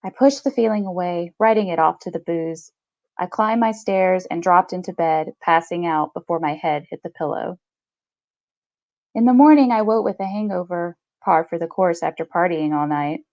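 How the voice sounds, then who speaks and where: A woman's voice: fairly low pitch, normal speed, darkish tone, no echo. A woman in her thirties on a video call, a podcast reader and co-host who reads and talks about short stories. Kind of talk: short story reading and casual book chat. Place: small room at home, video call. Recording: slightly dull video-call audio.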